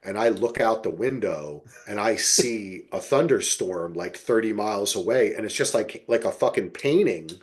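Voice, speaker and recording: Man, American accent, deep-leaning voice, very lively intonation, podcast host, casual profane banter. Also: moderate pace, few pauses, husky. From New Jersey, United States.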